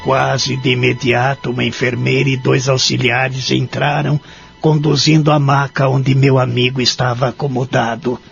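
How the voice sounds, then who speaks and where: An actor playing a humble, lonely elderly Brazilian man in a radio drama, formal, sincere and deliberate. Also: mid-range voice, flat delivery, vocal fry, soft background music, close to an everyday voice. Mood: reflective. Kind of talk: radio drama acting and narration. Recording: dull lo-fi audio drama recording.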